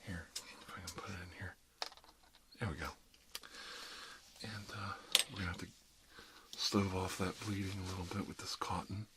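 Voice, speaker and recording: A man's voice: low voice, fairly even intonation, normal speed, long pauses, very noisy. A man doing an ASMR roleplay as a dentist, rambling casually and wryly while reassuring his patient. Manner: wry, conversational, reassuring patter to a patient. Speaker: ASMR performer playing a dentist.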